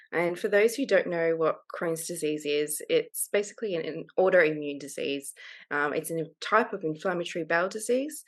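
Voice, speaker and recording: Australian woman, 30s, lower register, frank and open interview guest. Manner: candid, open, laughs easily. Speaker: guest author. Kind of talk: casual interview conversation.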